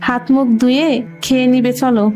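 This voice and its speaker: A woman voicing a poor, fretful, caring village mother in a cartoon, with an expressive, homely, lamenting manner. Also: high voice, a little fast, soft background music, close to an everyday voice. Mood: fussing. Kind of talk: Bengali cartoon voice acting.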